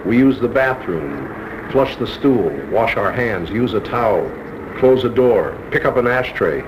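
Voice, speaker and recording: Blunt man on noisy old film. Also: mid-range voice. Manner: blunt, wry, clipped punchy phrasing. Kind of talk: instructional monologue. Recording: noisy 1970s training film soundtrack.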